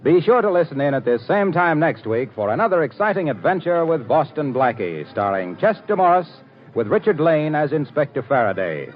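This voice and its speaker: Vintage radio pitchman, male, lively swooping intonation, polished upbeat delivery, dull archival sound. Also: fairly quick, soft background music. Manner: polished, upbeat sales patter. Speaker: radio announcer. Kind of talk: 1940s radio ad and announcing.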